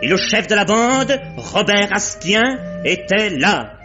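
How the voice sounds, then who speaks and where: An actor narrating a 1950s French radio serial in a measured, mock-solemn way. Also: male voice, high-pitched, very animated, exaggerated voice. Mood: solemn, storytelling. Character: storyteller announcing chapters, wry and solemn. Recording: muffled old radio recording, music behind.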